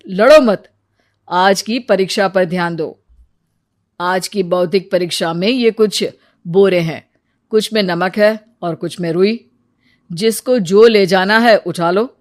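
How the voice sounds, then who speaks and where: A woman's voice: mid-range voice, close to an everyday voice. A woman voicing a stern but fair male royal minister, officious and instructing, in a storybook tale.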